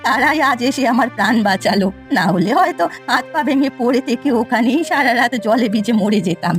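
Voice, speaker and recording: A woman's voice: a little high, animated, brisk, soft background music, close to an everyday voice. A woman voicing a stingy, repentant old village granny in a cartoon story, plaintive and affectionate in manner. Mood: pleading. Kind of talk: Bengali cartoon story voice acting.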